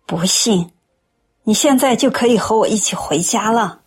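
一位女演员在广播剧里饰演和善、沉稳、宽容的中年阿姨，声音带着气声。. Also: female voice, on the high side, normal speed, faint music behind, lightly acted. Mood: reassuring. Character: kindly, calm, forgiving middle-aged auntie. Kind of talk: audio drama acting.

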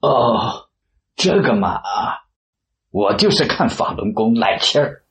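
A man's voice: mid-range voice, sing-song, slow, fairly bright tone. An actor playing a hostile, smug bald man who informs on others in a radio drama, sneering and taunting. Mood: grudging. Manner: belligerent, taunting.

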